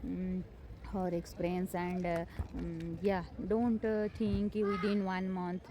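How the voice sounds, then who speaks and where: Hesitant non-native English woman, lowish voice. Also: level tone, slow, noisy background. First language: not English. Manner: hesitant, many fillers and restarts, encouraging. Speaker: homemaker sharing English-learning tips. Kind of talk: unscripted motivational talk to camera. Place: home.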